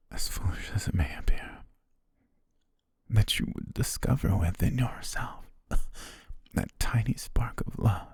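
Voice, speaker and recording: A man voicing a dying young butler, loyal and tender, who speaks in hushed, halting words broken by weak breathy laughs as his strength fades, recorded on a close mic for an ASMR roleplay. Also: deep voice, no echo, exaggerated voice. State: fading, short of strength. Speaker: voice actor.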